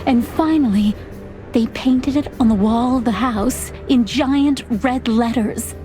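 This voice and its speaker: A woman's voice: fairly high pitch, slow pace, dark tone, soft background music. A woman playing a timid but dutiful young fawn secretary in a film-noir video game, polite, reserved and a little hesitant yet composed. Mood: uneasy, formal.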